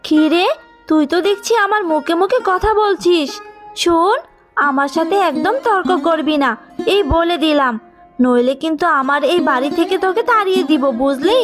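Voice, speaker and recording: A woman voicing a harsh, miserly aunt in a cartoon, sharp-tongued and bullying. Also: very high voice, expressive, normal speed, over music. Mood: scolding. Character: harsh, scolding, miserly aunt of orphan girl. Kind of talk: Bengali cartoon drama dubbing.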